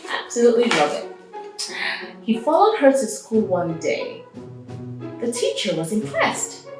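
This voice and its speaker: A woman reading a story aloud to children as host, expressive and enthusiastic, with chatty asides and drawn-out emphasis. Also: on the high side. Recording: very echoey room, distant mic, music behind.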